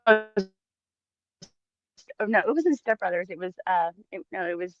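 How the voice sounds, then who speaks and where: A woman's voice: lowish voice, expressive, normal speed, music in the background. A woman bantering casually as a livestream co-host, joking in a mocking way, heard through slightly muffled audio.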